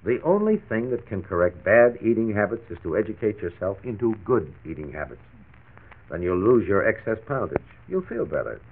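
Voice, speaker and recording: An actor playing a frank, reassuring family doctor who explains firmly, in 1940s radio style. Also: male voice, on the low side, big pitch swings, brisk, slight background noise. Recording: tinny 1940s radio transcription disc.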